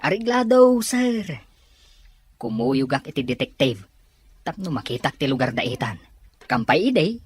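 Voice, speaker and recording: A woman's voice: rumbling low, big pitch swings, a little slow, long pauses, full character voice. A woman playing a chatty, obliging office underling in a serial drama, over music. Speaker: actor in a Filipino serial drama. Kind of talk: acted drama dialogue. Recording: music behind the voice.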